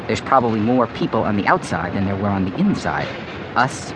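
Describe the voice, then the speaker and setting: Blunt, animated young guy. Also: lowish voice, a little fast. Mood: skeptical, needling. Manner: casual, needling, blunt. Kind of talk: comic radio-play acting. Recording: noisy original workshop recording.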